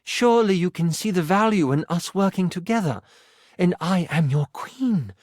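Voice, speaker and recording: A man voicing a proud, anxious queen in a fantasy game, speaking formally and with measured authority. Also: sits high, animated, a little mellow, no room sound, studio quality. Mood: proud, commanding. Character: proud anxious queen pleading for her child, regal and magical.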